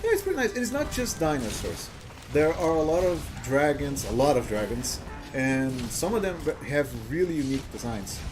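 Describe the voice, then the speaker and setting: A man's voice: on the high side, sing-song, moderate pace, music in the background. Rambling streamer man. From Brazil. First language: Portuguese. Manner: rambling and chuckly, with frequent "uh" fillers and restarts. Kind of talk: casual live-stream chat over gameplay.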